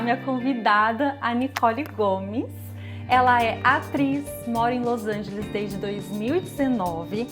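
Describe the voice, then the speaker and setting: A woman with a São Paulo countryside Brazilian accent hosts a podcast interview in a chatty, talkative way. Her voice sits a little high, and music plays clearly under her talk. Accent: Brazilian Portuguese, São Paulo countryside. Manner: warm, chatty, asks questions and adds remarks while the guest talks. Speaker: podcast host. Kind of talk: casual interview.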